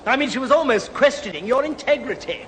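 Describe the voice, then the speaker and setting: A very high, bright voice a fussy, deferential gentleman. He is earnestly apologetic, in an old radio sitcom recording with slight hall reverb. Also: expressive, fast, slight background noise.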